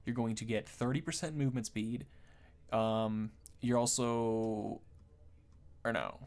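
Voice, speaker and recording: A man's voice: lowish voice, fairly even intonation, unhurried, a little mellow, smooth. A man giving casual, off-the-cuff game commentary as a video host, rambling and thinking aloud.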